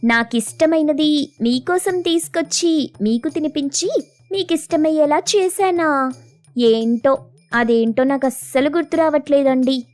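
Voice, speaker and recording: Woman acting a little sparrow wife, very high pitch, evasive, whiny, stubborn, cartoon dialogue. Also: unhurried, darkish tone, no echo, faint music behind. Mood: feigned innocence. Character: reluctant, evasive wife sparrow who feigns innocence. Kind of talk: children's cartoon story dialogue.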